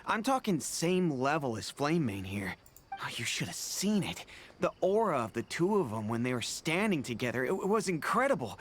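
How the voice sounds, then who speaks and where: A man playing an excitable, boastful mercenary in a video game, informal and animated. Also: on the high side, normal speed, a little hiss or hum. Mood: awestruck.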